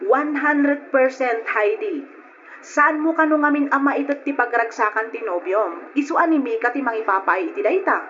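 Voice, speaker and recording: An actress playing a confiding, gossipy young best friend in an old-fashioned radio drama. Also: female voice, high-pitched, moderate pace, fairly bright tone, a little hiss or hum. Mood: gossipy, insistent. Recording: muffled old radio recording with music.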